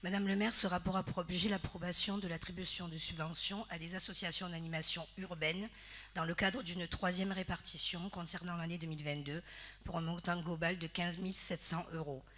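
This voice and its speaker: A woman council member reading out a formal report with its figures, steady and formal. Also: on the low side, brisk. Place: municipal council chamber.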